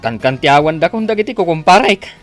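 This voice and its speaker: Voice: medium pitch, lively intonation, fast, soft background music, lightly acted. A performer playing a grumbling, reluctant spouse with a Filipino accent in a radio comedy drama.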